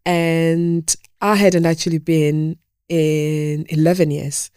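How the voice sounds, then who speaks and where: A low, mellow-voiced woman in her thirties who grew up in Zimbabwe leads a casual podcast conversation. She asks eager questions and laughs easily, heard close and dry with no room around her. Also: little pitch movement, slow pace, smooth, no echo. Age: 30s. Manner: enthusiastic interviewer, laughs often. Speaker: podcast co-host. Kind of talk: casual chat.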